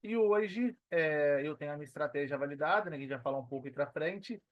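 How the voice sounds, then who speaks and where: A man's voice: on the high side, fast talker, dry recording. A man in his thirties with a Minas Gerais Brazilian accent, talking casually as an interview guest. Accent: Brazilian Portuguese, Minas Gerais. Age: 30s. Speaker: podcast guest, personal trainer and affiliate marketer. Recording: dull, lo-fi, dry audio.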